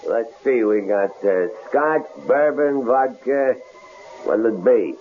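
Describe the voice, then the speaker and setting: Menacing rough drifter, slow drawn-out delivery, swinging intonation, coarse and mocking, vintage radio drama. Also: male voice, mid-range voice, lively intonation. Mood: needling. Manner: coarse, mocking, insinuating, halting. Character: menacing, leering drifter, a big rough bruiser. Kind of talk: 1970s radio drama acting. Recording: dull, noisy old radio broadcast.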